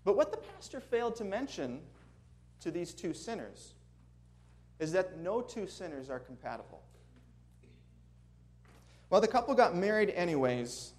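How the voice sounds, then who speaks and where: A man's voice: on the high side, big pitch swings, normal speed, pauses often. A man from Minnesota with a Minnesotan accent, preaching a sermon to a congregation in a church, earnest and friendly with chuckling asides. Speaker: guest preacher. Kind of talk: sermon with prayer and storytelling. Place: church sanctuary before a congregation.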